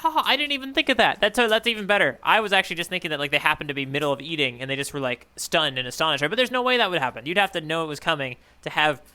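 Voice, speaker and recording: A man's voice: a little high, expressive, very fast, hardly any pauses, sharp tone, clean tone. A man co-hosting a casual comedic podcast, thinking aloud conversationally about manga. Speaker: podcast co-host.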